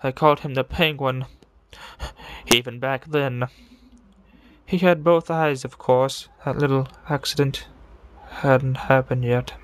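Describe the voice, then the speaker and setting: A man voicing a deranged serial killer who confesses with morbid relish in a theatrical, brooding monologue full of dramatic pauses, in the style of a video game villain. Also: mid-range voice, fairly even intonation, clear, resonant tone, lightly acted. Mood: brooding, bitter. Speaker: amateur voice impressionist.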